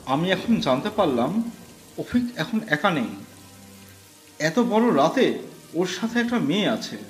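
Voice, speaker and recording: A man's voice: high-pitched, big pitch swings, unhurried. Man voicing a worried protective young woman. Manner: urgent, anxious. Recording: roomy with faint music.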